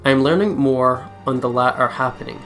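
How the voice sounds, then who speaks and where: A man's voice: medium pitch, moderate pace, fairly bright tone. A man hosting a let's play, switching between reading story text aloud and chatty asides, with game music behind. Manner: giggly, chatty asides between read passages. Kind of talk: reading visual novel text aloud mixed with casual chat. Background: game background music.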